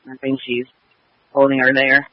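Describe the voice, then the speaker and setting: A man's voice: mid-range voice, monotone, normal speed, no room sound. A man relaying police traffic over a radio transmission. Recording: dull police scanner radio.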